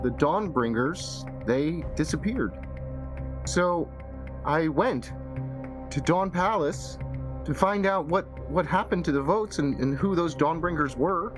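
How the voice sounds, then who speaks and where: Male player as regretful wife, tabletop roleplay: highish voice, hesitant, earnest, flustered, music underneath. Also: expressive, slow. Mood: earnest explaining. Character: regretful, flustered woman, a wife pleading her case.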